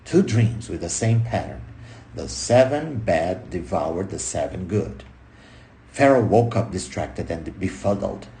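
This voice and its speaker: A man reading a book aloud expressively, in punchy short phrases with dramatic pauses and emphasis. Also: lowish voice, a little mellow, slight background noise, poor audio. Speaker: audiobook reader.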